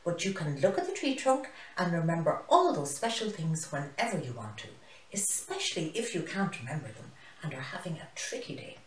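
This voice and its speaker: A woman voicing a cheerful, chatty baboon pupil, excitable, in a storybook. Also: fairly low pitch, fairly quick, far from the mic, a little hiss or hum. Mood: pleased. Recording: roomy, echoey living room.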